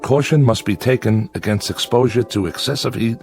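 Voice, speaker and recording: An actor in a radio drama playing a sardonic, weary convict living alone in exile on an asteroid. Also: male voice, mid-range voice, fairly quick, creaky, darkish tone, natural voice. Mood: reading aloud. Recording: music behind.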